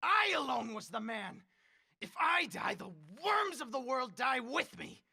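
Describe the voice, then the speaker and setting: Old tyrant leader in a radio drama, bossy and threatening, pitch all over the place, tense and sharp. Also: male voice, big pitch swings, unhurried, vocal fry, husky, exaggerated voice. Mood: megalomaniac rage. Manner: ranting, imperious, threatening. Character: dying old tyrant leader, raging and megalomaniac. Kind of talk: 1930s-style radio drama acting.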